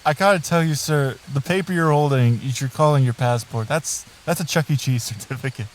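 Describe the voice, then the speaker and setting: A man's voice: medium pitch, fairly quick, natural voice, poor audio. A man playing a patient magical tree that guards a forest, talking casually and hesitantly in improvised audio comedy. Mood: patient, puzzled. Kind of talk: improvised comic audio drama. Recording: background noise with chirping crickets.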